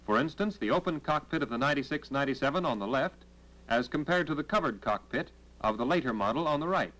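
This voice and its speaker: An American man delivering scripted 1940s military training film narration in a formal, measured, instructional manner on a vintage film soundtrack. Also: medium pitch, lively intonation, sharp tone, rough recording.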